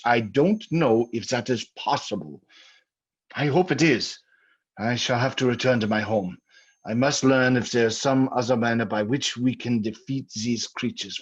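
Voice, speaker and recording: An actor playing a stern, authoritative doctor of the supernatural, grave and deliberate, in an old-time radio drama. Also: male voice, medium pitch, slightly rough. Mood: solemn. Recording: muffled old radio recording.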